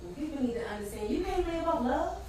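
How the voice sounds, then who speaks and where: An actress in a stage play playing a blunt, skeptical, no-nonsense friend who speaks in an emphatic, sassy, preachy way. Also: female voice, high voice, expressive, fast talker, warm tone. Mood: emphatic, opinionated. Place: large theatre stage, recorded from far off. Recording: distant, very echoey, noisy stage recording.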